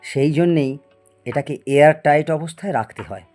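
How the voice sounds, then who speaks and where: A man's voice: a little high, normal speed, darkish tone, faint music behind, natural voice. A man acting a proud, obsessive middle-aged scientist in a Bengali audio story, theatrical and prone to stammer when agitated. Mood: excited, explaining. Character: proud obsessive male scientist, boastful and stubborn.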